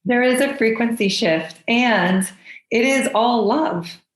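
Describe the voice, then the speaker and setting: A woman's voice: a little high, slow, echoey room, low-quality recording. A woman speaking as a podcast guest, answering casually and conversationally in an effusive, enthusiastic manner. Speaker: podcast guest, spiritual channeler.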